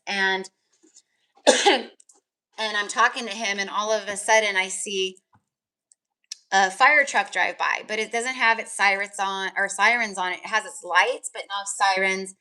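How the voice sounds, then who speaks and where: A woman's voice: medium pitch, sharp tone. A woman tarot reader chatting casually on a live stream, rambling with lots of fillers, her voice tired and crackly. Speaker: tarot reader and energy healer.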